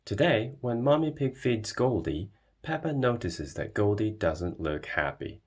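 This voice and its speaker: Slow, very animated male storybook reader. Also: on the low side, breathy edge. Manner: expressive. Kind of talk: scripted children's storybook narration.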